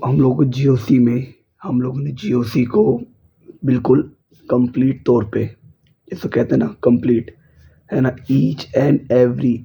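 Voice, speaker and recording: A hoarse man, teaching an online chemistry class and often asking if students follow. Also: medium pitch, dark tone, slight background noise, rough recording. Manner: repeats checks like "clear?" and "okay?". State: hoarse from a cold. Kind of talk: online exam-prep lecture. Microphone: speaker's mic is faulty.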